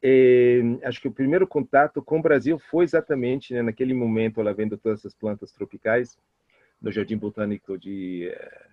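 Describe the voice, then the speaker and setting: Sex: man. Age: middle-aged. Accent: Italian. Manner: quick, halting storyteller. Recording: dull, muffled, dry. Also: mid-range voice, dry recording. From Italy. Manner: fast, animated talker with many hesitations and restarts. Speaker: guest expert. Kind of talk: interview answers, personal storytelling. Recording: muffled lo-fi audio.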